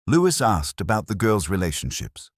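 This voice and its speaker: A man performs a melancholy detective telling his case as scripted mystery narration. The voice is extremely deep yet moves expressively in pitch, close and dry in sound. The phrasing is deliberate, literary and brooding. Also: very animated, slightly rough, no echo, heavily acted. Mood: brooding, observant.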